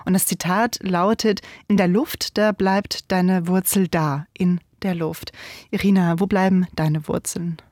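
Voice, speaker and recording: A woman hosting a radio culture interview, asking calm, measured questions. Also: on the low side, no room sound, studio quality. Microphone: studio microphone.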